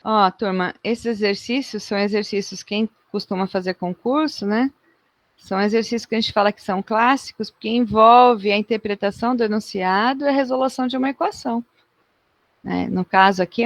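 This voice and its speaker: A woman's voice: medium pitch, steady tone, moderate pace, dry recording. A woman teaching a math lesson in a chatty way, often checking in with her students.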